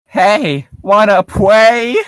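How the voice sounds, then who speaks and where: A man's voice: sits high, drawn-out words, clean tone, dry recording. A man co-hosting a comedy podcast in casual banter, swearing crudely with mock outrage as he riffs on a story. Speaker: podcast co-host reading and riffing on a story.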